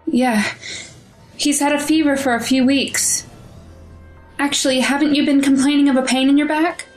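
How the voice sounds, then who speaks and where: A woman's voice: sits high, fairly even intonation, normal speed, soft background music, close to an everyday voice. A woman playing a protective, distressed mother in an audio drama, defensive and anxious about her child. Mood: concerned.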